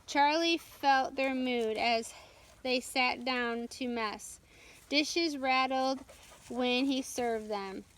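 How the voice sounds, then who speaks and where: A woman's voice: sits high, extremely slow, fairly bright tone. A woman storyteller reading a children's book aloud as scripted narration.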